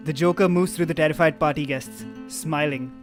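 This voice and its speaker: Man, fairly high pitch, screenplay narration, table read, prominent guitar backing. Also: fairly even intonation, fairly quick. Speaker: narrator reading stage directions. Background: guitar music.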